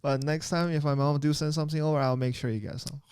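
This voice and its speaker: A man's voice: fairly high pitch, steady tone, fast, darkish tone, clean tone, no echo, clean studio sound. A man from China with a Chinese accent, a personal trainer chatting casually as a podcast guest, laughing easily and calling his host "bro". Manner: laughs often, easygoing, says "bro" and "man". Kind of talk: casual chat.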